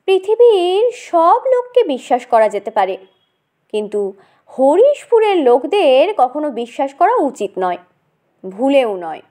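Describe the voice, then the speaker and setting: High-pitched, animated woman storyteller. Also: a little slow. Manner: dramatic, conversational, addresses listener directly. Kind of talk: animated comic audiobook narration.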